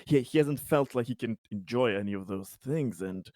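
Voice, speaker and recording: A man playing a tabletop game, casually describing his character in a halting, rambling way. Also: mid-range voice, darkish tone, no echo, studio quality.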